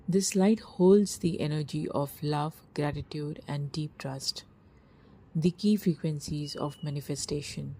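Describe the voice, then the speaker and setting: Woman, low-pitched, soft breathy husky tone, scripted guided meditation, soothing hushed delivery, long pauses.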